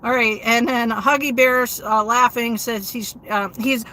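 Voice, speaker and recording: A woman hosts a relaxed livestream, chatting with viewers and answering their comments. Her voice sits a little high and sounds bright and forward. She keeps a steady, fairly flat delivery dotted with ums. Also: unhurried, slight background noise, low-quality recording. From New England. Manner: steady, low-inflection delivery with frequent ums. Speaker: livestream host. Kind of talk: casual livestream chat, reading viewer comments.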